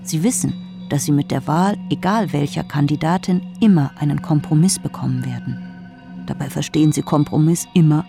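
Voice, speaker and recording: Creaky-voiced woman narrating over music. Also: low-pitched, normal speed. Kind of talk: scripted essayistic narration.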